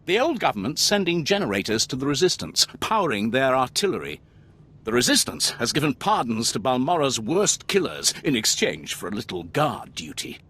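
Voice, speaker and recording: A raspy, wildly animated brash lieutenant. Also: male voice, medium pitch, normal speed. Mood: gung-ho, bloodthirsty. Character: brash, gung-ho male Imperial lieutenant, explosion-loving. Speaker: military officer character. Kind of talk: video game voice acting.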